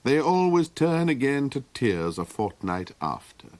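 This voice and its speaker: A man voicing a world-weary, tearless woman in her late thirties for a storybook, speaking in a slow, mournful way. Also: medium pitch, sing-song, a little mellow, no echo, voice pushed up. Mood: resigned.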